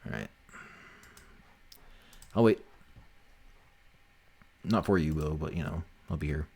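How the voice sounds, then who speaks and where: A man's voice: a bass voice, slow pace, creaky, dark tone, breathy edge. A man hosting a development livestream, thinking aloud in an informal, sweary, teasing way. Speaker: livestream host and game developer. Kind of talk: casual livestream chat, thinking aloud while working.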